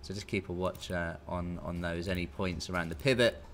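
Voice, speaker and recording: A man's voice: sits low, steady tone, brisk, fairly bright tone. A British man in his thirties giving an informal, conversational live market briefing as a technical analyst. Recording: clear background noise.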